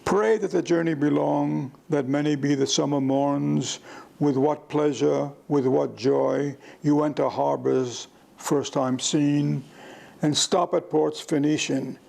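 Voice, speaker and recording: A man with a gravelly voice, reciting a poem aloud. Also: fairly high pitch, a little mellow. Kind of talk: slow poetry recitation.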